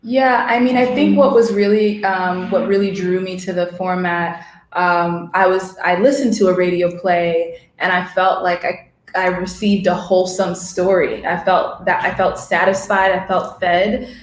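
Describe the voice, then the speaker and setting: A woman's voice: lowish voice, fairly even intonation, measured pace. A woman writer talking casually in a discussion, reflective and searching for her words. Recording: roomy, echoing room sound.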